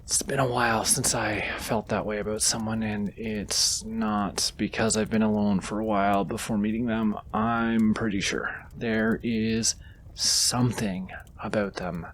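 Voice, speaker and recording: A man playing a gentle, easily flustered wizard in a comforting fantasy audio roleplay, tender, soft-spoken and intimate. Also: on the low side, little pitch movement, a little slow, a little hiss or hum, close to an everyday voice. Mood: smitten, confiding. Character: gentle, flustered male wizard comforting a companion he secretly adores.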